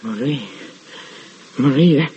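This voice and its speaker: An actor playing a haunted, paranoid man sliding into madness, halting and repeating himself in old-time radio horror style. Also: on the high side, animated, gravelly, dark tone, husky, heavily acted. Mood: agitated, obsessive. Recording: hissy, muffled old radio recording.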